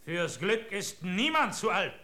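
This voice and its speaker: A brash coffeehouse adventurer in a radio drama. His high, sharp voice goads his companions on. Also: sing-song, unhurried, full character voice. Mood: brash, eager. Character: brash male coffeehouse adventurer egging others on. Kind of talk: German radio drama acting.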